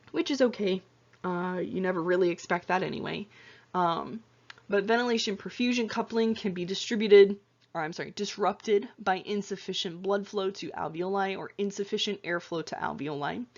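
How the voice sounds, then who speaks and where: Lady teacher narrating slides, kinda hoarse and worn out, lots of ums, muffled lo-fi mic. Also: mid-range voice. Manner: casual, chatty lecturing with many fillers and self-corrections. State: voice getting tired and hoarse. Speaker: anatomy and physiology instructor. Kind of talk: recorded slide lecture.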